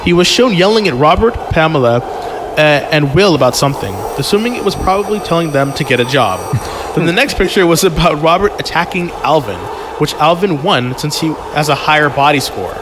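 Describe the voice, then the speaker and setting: A man hosting a podcast, reading a story aloud haltingly with casual asides and chuckles. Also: on the high side, animated, noisy background. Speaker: podcast host and reader. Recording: music playing behind the voice. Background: prominent background music.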